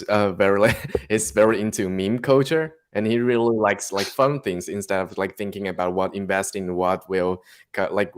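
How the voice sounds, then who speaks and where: Low-voiced Taiwanese man, halting speech. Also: clean tone. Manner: halting, searching for words with repeated restarts. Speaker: interviewed generative artist. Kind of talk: casual podcast interview.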